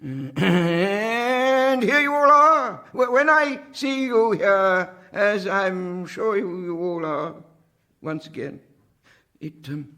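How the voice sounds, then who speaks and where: High-voiced, doddering, halting village squire. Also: male voice, animated, bright, forceful tone, exaggerated voice. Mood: muddled, genial. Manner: halting, bumbling, trailing off mid-sentence. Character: doddering village squire, a muddled, genial speechmaker. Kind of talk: radio drama comic speech.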